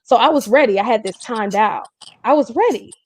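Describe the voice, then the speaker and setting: A woman hosting a casual interview chat, telling things in an animated, emphatic way. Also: a little high, a little fast, no room sound. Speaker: host.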